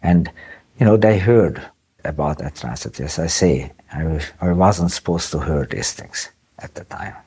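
Interviewee, man in his nineties, gravelly-low and breathy, halting recollection. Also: mellow. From Czechoslovakia. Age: 90s. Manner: halting, with restarts and fillers. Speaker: interviewee, Holocaust survivor. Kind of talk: recounting memories in an interview.

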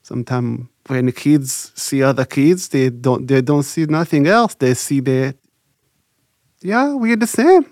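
Gravelly man recalling childhood. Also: mid-range voice, expressive, a little mellow, no echo, clean studio sound. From Rwanda. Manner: halting, reflective, many "you know" fillers. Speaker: interview guest. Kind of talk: recalled life story, casual.

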